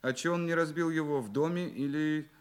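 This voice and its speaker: An actor playing a shrewd, self-assured male detective in a radio play, musing deliberately and courteously, often repeating his words. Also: a little high, steady tone, smooth, close to an everyday voice. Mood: analytical. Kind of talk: Russian radio play acting.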